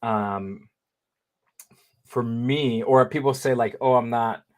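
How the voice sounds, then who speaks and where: A man's voice: mid-range voice, slow. A man in his thirties talking casually as an interview guest, rambling with many fillers and restarts. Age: 30s. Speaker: interview guest, living kidney donor.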